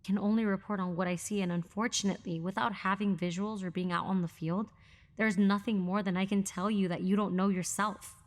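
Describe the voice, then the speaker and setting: A woman's voice: mid-range voice, steady tone, fairly quick, lightly acted. A woman playing a self-assured, defiant military operative, firm and cutting, in a scripted drama. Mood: guarded, firm.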